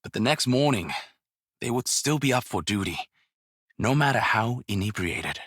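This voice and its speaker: An actor voicing a calm, dignified veteran warrior in a video game, speaking in a measured, solemn manner. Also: male voice, mid-range voice, husky, dry recording, clean studio sound. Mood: calm, reflective. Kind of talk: scripted game character lines.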